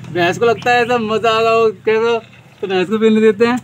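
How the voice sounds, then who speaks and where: High-voiced young man vlogging outdoors. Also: steady tone, a little fast. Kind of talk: excited casual vlog commentary to camera. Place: open dirt farmyard, outdoors. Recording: noisy outdoor yard, birds and animals.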